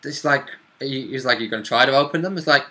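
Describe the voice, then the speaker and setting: Offhand man with a bright, sharp voice. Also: medium pitch, fast talker. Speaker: player co-recapping a tabletop game session. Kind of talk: casual chat.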